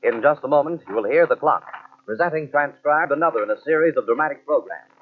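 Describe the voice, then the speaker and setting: A man announcing an old-time radio drama in a smooth, formal, measured way. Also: fairly high pitch, animated, a little hiss or hum. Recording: tinny old radio transcription with music.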